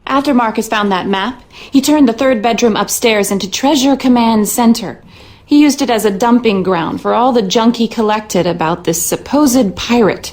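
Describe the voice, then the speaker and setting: An actress playing the worried, weary lady of a rundown manor in a video game, speaking in a measured, dry, articulate way. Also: a little high, darkish tone, close to an everyday voice.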